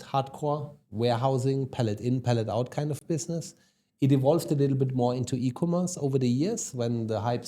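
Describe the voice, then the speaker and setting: A man's voice: medium pitch, mellow. A man with a German accent, a guest on a business podcast, talking casually with many ums and tag questions. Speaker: guest, e-commerce executive. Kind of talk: podcast interview.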